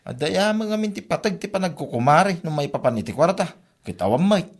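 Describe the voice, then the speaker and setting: A man's voice: on the high side, very animated, brisk. A man playing a plain-spoken husband who chides and advises his wife in a comedy radio drama. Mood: admonishing.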